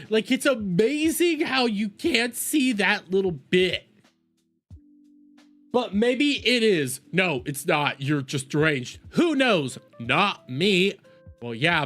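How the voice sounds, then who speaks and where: A man's voice: sits high, fairly bright tone. A man hosting a reaction video, giving heated, casual opinion commentary in an argumentative, exasperated and mocking way, repeating phrases for emphasis.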